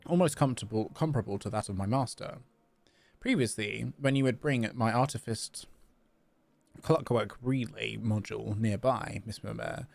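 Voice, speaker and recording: A man voicing a polite, formal, flattering clockwork robot while reading game dialogue aloud. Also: fairly low pitch, vocal fry. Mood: courteous, formal. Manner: stiff, formal, deferential.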